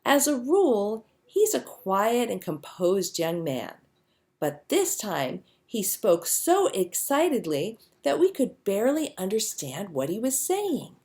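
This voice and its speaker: Expressive woman reading a storybook, lively pitch. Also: takes it slowly. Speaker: storybook reader. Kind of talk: scripted children's story narration, read aloud.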